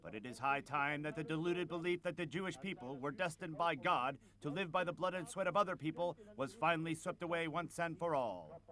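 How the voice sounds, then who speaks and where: Guy doing a fanatical fascist speechmaker, high bright voice, declaiming hard and menacing. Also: expressive, brisk. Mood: stern, threatening. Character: menacing, fanatical male fascist orator addressing comrades. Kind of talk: translated political speech.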